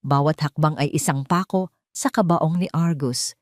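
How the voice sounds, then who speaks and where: A woman's voice: low-pitched, normal speed, no echo, clean studio sound. A woman narrating a scripted romance and revenge audio drama in a dramatic storytelling style.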